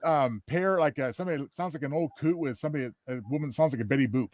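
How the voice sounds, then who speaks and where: Casting: male commentator, casual chat, slightly high voice, dry studio-like sound. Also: quick pace, a little mellow, dry recording.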